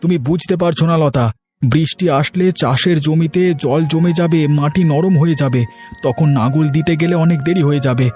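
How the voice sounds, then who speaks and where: A man voicing a hardworking, hurried village farmer in a cartoon, urgent and insistent. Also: on the high side, darkish tone, soft background music, lightly acted. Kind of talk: Bengali cartoon moral story acting.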